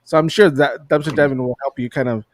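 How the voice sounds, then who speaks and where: A man's voice: mid-range voice, steady tone, fast, dry recording. Guy hosting a call-in podcast, easygoing, laughs a lot, dry close sound. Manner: friendly, laughs easily, repeats words. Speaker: podcast host. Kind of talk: casual podcast chat.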